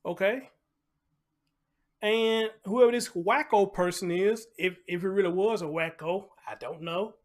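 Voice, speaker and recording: A man's voice: sits high, unhurried. An American man hosting a casual live commentary show, talking conversationally with preacher-like asides, repeated phrases and rhetorical questions.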